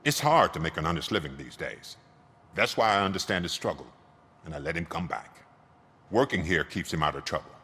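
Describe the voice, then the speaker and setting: An actor playing a shifty, grumbling car repair shop owner in a video game, raspy, wry and defensive. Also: male voice, deep voice, lively intonation, brisk, bright, forceful tone, full character voice. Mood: resigned, confiding. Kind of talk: video game cutscene acting. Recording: long hall-like reverb.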